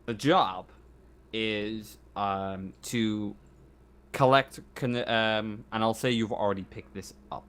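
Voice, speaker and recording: A man's voice: fairly low pitch, fairly even intonation, fairly bright tone, smooth. A man speaking as game master of a tabletop game, unscripted, hesitant and often correcting himself. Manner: hesitant, halting, self-correcting.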